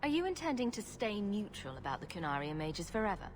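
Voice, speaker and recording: A woman's voice: medium pitch, very animated, quick pace, natural voice. A woman playing a wry, sarcastic rogue adventurer in a video game, with a dry, flippant delivery. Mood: questioning, direct. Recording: clear ambient noise behind voice.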